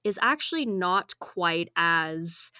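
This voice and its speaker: A woman's voice: fairly low pitch, animated, slow pace, pushed and bright, dry recording, clean studio sound. A woman co-hosting a podcast in casual chat, talkative and laughing often, her voice slightly strained from screaming. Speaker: podcast co-host.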